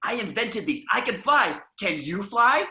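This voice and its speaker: A man playing an eager, pushy, whiny boy superhero fan, excitable and pleading, reading from a script in an echoey room. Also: high voice, unhurried, exaggerated voice. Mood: indignant, insistent. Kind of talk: online table read of a film script.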